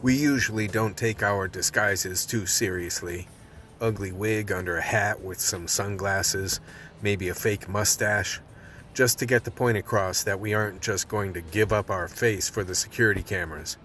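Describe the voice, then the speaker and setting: A man's voice: lowish voice, moderate pace, a bit bright, soft background music, close to an everyday voice. A man playing a world-weary, tense vice cop who narrates a radio play in a hard-boiled manner. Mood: tense, brooding.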